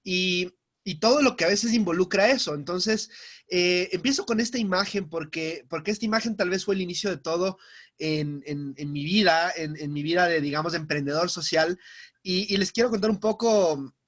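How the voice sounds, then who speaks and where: A man's voice: high voice, a bit bright. A young man, giving a personal talk over a video call and often repeating himself as he restarts. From Ecuador. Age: young adult. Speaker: guest speaker.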